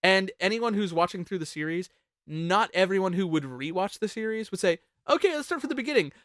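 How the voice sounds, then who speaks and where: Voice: mid-range voice, expressive, a little fast, a bit bright, dry recording, clean studio sound. A host chatting casually while ranking songs on a panel show, jokey, profane and talkative.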